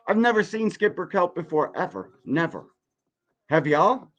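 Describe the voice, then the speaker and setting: A man in his forties with a fairly high voice, ranting on a livestream. He talks bluntly and emphatically, swearing freely and throwing out rhetorical questions. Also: big pitch swings, slow pace, fairly bright tone, lo-fi sound. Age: 40s. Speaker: podcast host reacting to a video. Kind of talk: heated, profane livestream commentary.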